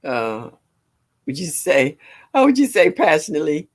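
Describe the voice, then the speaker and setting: A woman in her sixties from with a deep, low voice, chatting casually on a livestream, greeting viewers and laughing often. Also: big pitch swings, moderate pace. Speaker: livestream host. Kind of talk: casual chat reading viewer comments.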